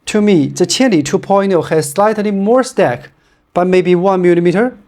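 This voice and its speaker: A man's voice: sits high, normal speed. A man with a Chinese accent, a non-native English speaker, giving a plain, straightforward scripted review of running shoes. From China. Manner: plain, straightforward, steady delivery.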